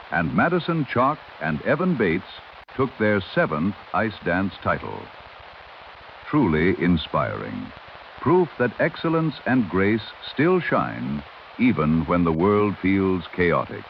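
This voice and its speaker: Male announcer, low and highly inflected, formal old-time 1930s radio news delivery on a dull, lightly hissy recording. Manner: formal, dramatic, old-fashioned newsreader delivery. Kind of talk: 1930s radio newscast, scripted narration. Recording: muffled vintage radio sound with hiss.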